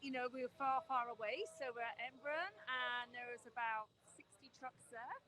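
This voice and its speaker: A woman's voice: on the high side, moderate pace, bright, forceful tone, a little hiss or hum. A woman supporting the convoy, speaking enthusiastically outdoors at a protest.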